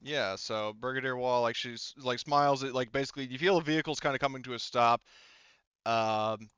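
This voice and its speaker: A man improvises narration as a game master in a halting, informal way. His voice is bright and clear, recorded close and dry with slightly muffled sound. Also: mid-range voice, dry recording. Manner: halting, informal, frequent "like" and "you know".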